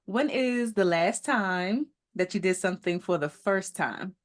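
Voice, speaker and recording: A woman's voice: mid-range voice, unhurried. Upbeat woman hosting a podcast. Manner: upbeat, enthusiastic, laughs often. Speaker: podcast host. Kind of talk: casual interview chat.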